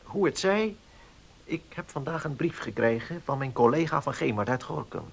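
An actor playing a formal, cautious village notary in a radio play, speaking in a measured, officious manner. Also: male voice, fairly high pitch, lively intonation, creaky, breathy edge, full character voice. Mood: guarded satisfaction. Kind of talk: Dutch radio play acting.

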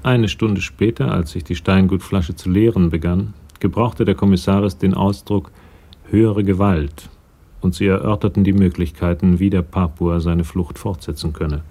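A man reading scripted narration as the narrator of a radio crime drama, with a gravelly, husky voice. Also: sits low, fairly even intonation, moderate pace, mellow.

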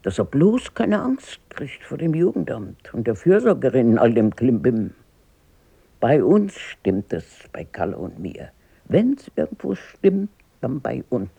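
An older woman acting a feisty, warm-hearted, plain-spoken working-class grandmother in a radio drama. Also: rumbling low, very animated, unhurried, gravelly, slightly rough, dry recording, full character voice. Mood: musing, worried. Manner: plain-spoken, grumbling, down-to-earth.

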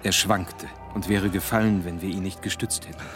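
Radio play narrator: man as low-voiced courteous doctor, sombre, measured, set against music. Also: steady tone, lightly acted. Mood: sombre, hushed. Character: sombre, courteous male doctor recounting horrors. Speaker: narrator and character.

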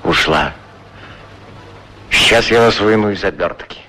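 A man plays a wistful shoe shiner in an old radio drama. His voice sits on the low side, and he speaks plainly, with patient resignation. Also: measured pace, noisy background, close to an everyday voice. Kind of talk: 1960s radio play acting.

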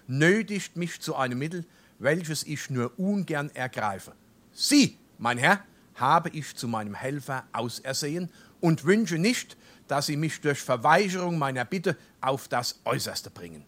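Aufbrausender hessischer Hausvater, eher hohe Männerstimme. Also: male voice, fairly high pitch, lively intonation, a little slow, fairly bright tone. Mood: declaiming. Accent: Darmstadt Hessian. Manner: emphatic, declamatory, scolding. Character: blustering, indignant middle-aged townsman and head of household. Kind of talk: stage dialect comedy acting.

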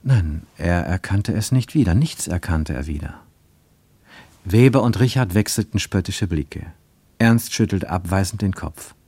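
A man narrating a radio play in a measured, literary storytelling manner. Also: deep voice, animated, a little mellow, breathy edge, dry recording.

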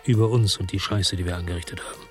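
An actor playing a disillusioned, guilt-ridden policeman who narrates a radio drama in a brooding, laconic way with a husky voice. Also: low voice, fairly even intonation, fast talker, faint music behind, natural voice. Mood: brooding regret. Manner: brooding, understated. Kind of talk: radio drama first-person narration and dialogue.

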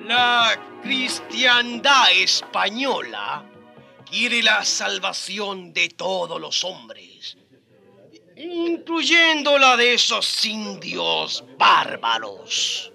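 An actor playing a haughty, fanatical Spanish theologian in a radio play, declaiming pompously with shouted bursts. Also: male voice, high voice, wildly swooping pitch, drawn-out words, creaky, exaggerated voice. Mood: pompous, scornful. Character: haughty, fanatical Spanish theologian doctor demanding holy war. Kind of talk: radio drama acting. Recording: music behind the voice.

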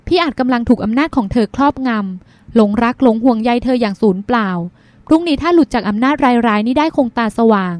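A woman's voice: fairly high pitch, lively intonation, quick pace, darkish tone, dry recording, close to an everyday voice. Woman voicing a weary, earnest older sister. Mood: resentful.

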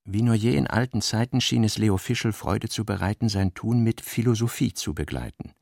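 A man's voice: on the low side, moderate pace, gravelly, darkish tone, no echo, clean studio sound. A man narrating a literary radio drama, reading scripted prose as the storyteller.

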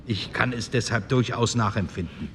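A man playing an earnest, pious and compassionate parliamentarian, giving a solemn, measured speech. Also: mid-range voice, natural voice. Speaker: actor in a documentary radio play. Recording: echoey hall with background noise.

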